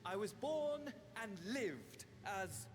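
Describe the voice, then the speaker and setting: A flamboyant, sneering villain. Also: male voice, sits high, sing-song, extremely slow, noisy background, full character voice. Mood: theatrical. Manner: flamboyant, sneering, drops to whispers. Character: theatrical, mocking villain, an ancient sorcerer. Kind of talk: video game cutscene acting.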